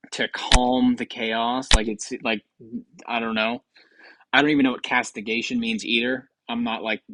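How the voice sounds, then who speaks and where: A man's voice: medium pitch, fairly bright tone, dry recording, poor audio. A man giving casual, rambling commentary as a video host, hesitant and self-deprecating, close to the mic. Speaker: video host ranking game voice lines.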